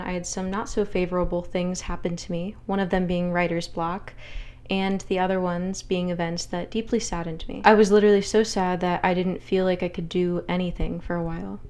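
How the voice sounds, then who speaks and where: A woman's voice: fairly low pitch, a little mellow. A young adult woman, a writer, conversationally introducing her own audio drama in the first person.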